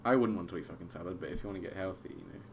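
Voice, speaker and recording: A man's voice: low-pitched, very fast. Young man, swearing, saying mate. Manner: swears freely, says "mate" often. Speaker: podcast co-host. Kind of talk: casual chat. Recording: faint background noise.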